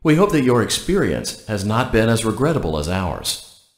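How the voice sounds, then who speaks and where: A man voicing a polite, deadpan, callous automated facility guide in a video game, giving scripted instructions in a measured, officious way. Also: lowish voice, reverberant. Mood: flat, ominous.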